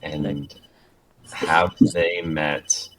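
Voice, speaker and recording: A man's voice: extremely low pitch, flat delivery, extremely slow, a bit bright, poor audio. A young adult man talking casually as one of the hosts of a group stream. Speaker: streamer introducing scripts.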